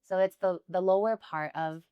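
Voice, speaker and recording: Young woman, smooth low-pitched voice. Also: steady tone, moderate pace, dry recording, lo-fi sound. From United States. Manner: chatty, many "like" fillers, earnest. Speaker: guest. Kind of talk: casual conversational interview.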